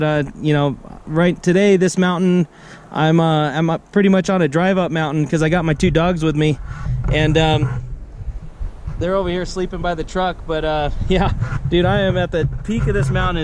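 A middle-aged American man chatting casually as a ham radio operator outdoors, halting and laid-back, repeating his phrases. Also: sits high, darkish tone, slight background noise. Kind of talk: casual radio contact chat. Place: outdoors at a picnic table on a mountain.

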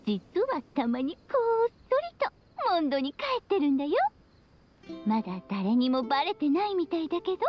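High-voiced, doting anime mother. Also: sing-song, normal speed. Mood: playful. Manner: affectionate, breezy storytelling. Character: playful, doting long-lived mother adventuress. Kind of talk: Japanese anime game voice acting. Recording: slightly dull sound, soft music behind.

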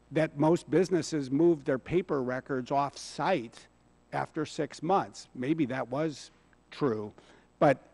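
A middle-aged man testifying at a hearing, speaking in a measured, persuasive way into a table microphone in a large hearing room. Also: mid-range voice, gravelly, darkish tone. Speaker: hearing witness. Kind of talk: congressional testimony.

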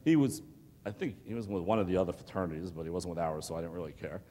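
A middle-aged man giving a keynote speech to students, talking in an animated, pleading, conversational way, often checking in with "okay?". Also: deep voice, fast talker, slight background noise. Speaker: keynote speaker. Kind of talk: motivational lecture to students. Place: large hotel ballroom with audience. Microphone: lapel mic. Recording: long hall reverb.

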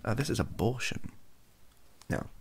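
A young man with a husky, gravelly voice, rambling softly and wryly to camera, often hesitating and correcting himself. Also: mid-range voice, sing-song, a little slow. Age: young adult. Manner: hesitant, self-correcting, wry. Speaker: ASMR comedy video creator. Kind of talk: improvised soft-spoken rambling to camera. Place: small home bedroom office.

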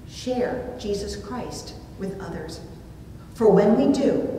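A middle-aged woman delivering a sermon with storytelling in a measured, expressive way, with deliberate phrasing. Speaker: preacher. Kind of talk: sermon with storytelling, read from notes. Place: small indoor church room, at a pulpit. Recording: distant mic, very echoey room, rumbly noise.

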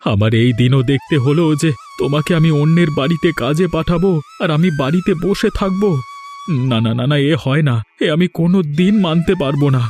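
A man performs a poor village farmer in a cartoon. His voice sits fairly high and carries a lamenting, despairing tone. Light music plays behind his words. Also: brisk, darkish tone, close to an everyday voice. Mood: stubborn, anguished. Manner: plaintive, lamenting. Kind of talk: Bengali cartoon voice acting.

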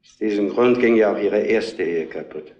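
An actor playing a weary, bereaved older father in a radio crime drama, grave, measured and resigned. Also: on the low side, a little mellow, highs cut off, natural voice. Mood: resigned grief. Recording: roomy old radio play recording.

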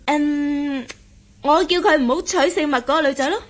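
Radio drama ingénue, played by a woman: high, girlish voice, bold in love yet coy. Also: normal speed, lightly acted. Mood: gleeful, bold. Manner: coy, giggly, emotive. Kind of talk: Cantonese radio drama acting.

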